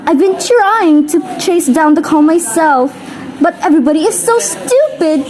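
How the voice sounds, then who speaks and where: An actress in a radio play, playing an anxious, demanding invalid wife who is easily exasperated and insistent. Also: female voice, very high voice, animated, moderate pace, darkish tone, poor audio. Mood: agitated, pleading. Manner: agitated, insistent, indignant. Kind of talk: old-time radio play acting.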